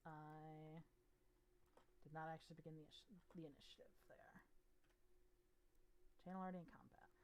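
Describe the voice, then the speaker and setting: Brief: woman game master, lowish voice, casual, mutters while she works the map. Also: flat delivery, normal speed, long pauses, a little mellow, slight background noise, poor audio. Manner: casual, thinks aloud while working the map. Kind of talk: running a tabletop game over voice chat.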